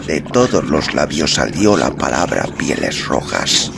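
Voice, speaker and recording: A man narrating a novel in a solemn, expressive, dramatic storytelling style, with music behind him. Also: very deep voice, unhurried, vocal fry, crowd noise. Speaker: audiobook narrator. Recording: music bed under narration.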